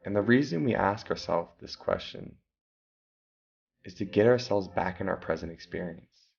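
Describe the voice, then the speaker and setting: A very low, gravelly male voice leads a guided meditation, speaking calmly and slowly. He gives breathing instructions with long pauses between them. The recording sounds slightly dull and lo-fi.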